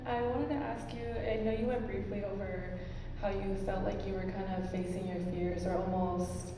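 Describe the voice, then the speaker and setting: A nervous woman in the audience asks a rambling question and apologizes as she goes. Her middle-pitched voice is caught from a distance in a large hall with long echo. A layer of noise sits under her. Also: steady tone, moderate pace. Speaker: audience member asking a question. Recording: echoey hall from far off, background noise.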